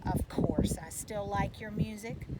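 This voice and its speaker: A woman's voice: medium pitch, little pitch movement, a little slow, lightly acted. A woman voicing a proud, loving father who coaches baseball in a storybook, with folksy phrasing. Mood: tender, encouraging. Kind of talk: storybook read-aloud. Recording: loud background noise.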